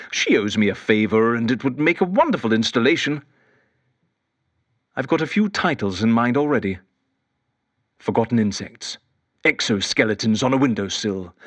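Male actor as lonely, theatrical British interviewer in an audio drama monologue; very animated intonation, dry sound. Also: mid-range voice, dry recording. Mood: whimsical, wistful. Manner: theatrical, rambling, whimsical. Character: eccentric, chatty, lonely male interviewer, quarantined in a bunker. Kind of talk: audio drama monologue, talking to a tape recorder.

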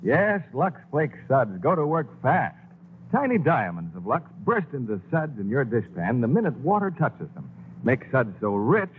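Animated male announcer on old radio. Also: on the high side, a little hiss or hum. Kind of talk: scripted 1940s commercial and announcement reading. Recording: dull old radio recording, music behind.

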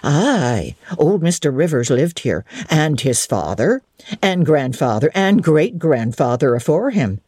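An old Northern English servant woman from a classic storybook, blunt and homely, speaking in broad dialect. The voice is rough and husky and swings widely in pitch, recorded close and dry. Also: sing-song, measured pace, dark tone, no room sound, full character voice. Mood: matter-of-fact. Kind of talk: dramatic audiobook reading of a classic novel.

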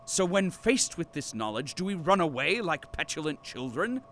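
A man's voice: a little high, big pitch swings, normal speed, fairly bright tone, a little hiss or hum. A man playing a pompous captive archivist and company loyalist in an audio drama, with formal, grandiose diction. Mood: solemn, fervent.

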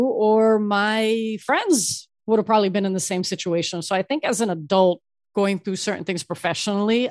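A middle-aged woman therapist, born in Ecuador and raised in Miami, telling her story in an animated way as a podcast guest. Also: fairly low pitch, no room sound, clean studio sound. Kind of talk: casual interview storytelling.

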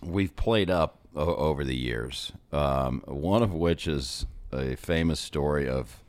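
A man hosting a podcast interview, teasing his guest and laughing, with many small pauses and fillers. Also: a bass voice, no room sound. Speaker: podcast host. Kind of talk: casual interview.

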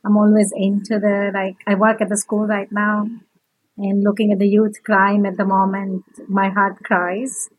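A woman, speaking earnest, halting as a nurse and election candidate. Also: mid-range voice, monotone, no room sound, rough recording. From India. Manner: earnest, halting non-native English.

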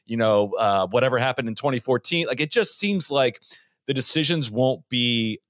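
Lively-voiced man, animated and chatty. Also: mid-range voice, normal speed, dry recording, studio quality. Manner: animated and conversational, with frequent "like". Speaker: podcast co-host. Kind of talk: casual sports roundtable chat.

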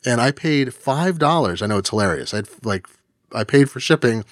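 A man in his forties co-hosting a podcast, chatting casually and animatedly, jumping high in pitch for jokes. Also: animated, moderate pace, no room sound, studio quality. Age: 40s. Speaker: podcast co-host. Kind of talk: casual chat.

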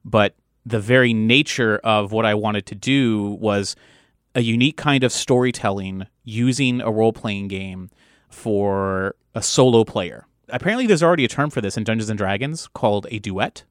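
A man's voice: fairly low pitch, moderate pace, no echo. Rambling man in a dry recording. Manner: rambling, discursive, breaks off and rephrases thoughts. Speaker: game master. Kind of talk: casual chat.

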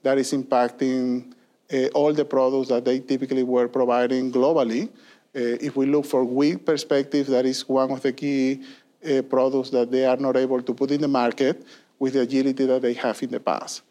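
A man's voice: medium pitch, little pitch movement, normal speed, warm tone. Man explaining earnestly. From Venezuela. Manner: earnest and explanatory, with many fillers and tag questions. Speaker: guest, industry consulting leader. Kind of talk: conversational interview answers.

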